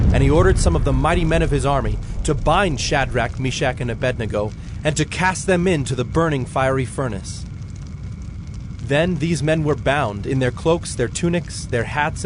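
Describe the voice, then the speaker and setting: A man narrating a dramatized Bible story in a measured, solemn storytelling manner. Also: medium pitch, noisy background.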